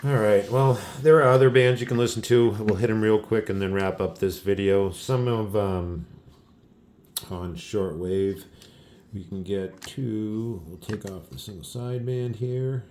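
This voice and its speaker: A man hosting a casual, unscripted radio hobby video, explaining things in a low-key, rambling, apologetic way. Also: a little slow, a little mellow, slight background noise.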